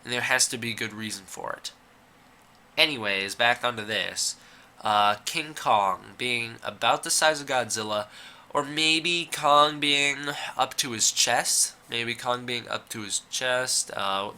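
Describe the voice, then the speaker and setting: A young man with a very bright, sharp voice rambles through his opinions in a casual video commentary. He is fighting a cold and fills his sentences with "uh" and "like". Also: medium pitch, a little slow. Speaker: YouTuber.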